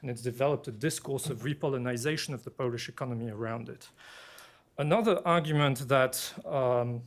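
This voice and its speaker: A man from Belgium with a French-influenced accent, giving a measured academic panel presentation as a political scientist, pausing often with fillers. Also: mid-range voice. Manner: measured and deliberate, with frequent filler pauses.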